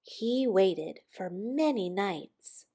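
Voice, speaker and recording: A woman reading a children's picture book aloud expressively, with dramatic pauses and emphasis on key words. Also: medium pitch, lively intonation, mellow, husky. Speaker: storybook reader.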